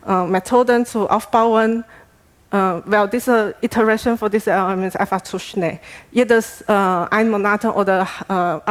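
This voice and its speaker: A woman researcher presents at a conference with a Taiwanese accent, a native Chinese speaker working in a second language. Her delivery is halting and hesitant, feeling its way from phrase to phrase. Her voice sits at an average pitch for a woman.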